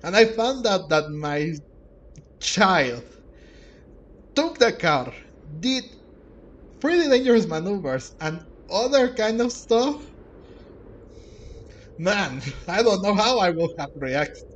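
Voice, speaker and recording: A man giving casual let's play commentary, haltingly, repeating words as he searches for phrasing. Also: sits high, sing-song, muffled recording. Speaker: gaming streamer.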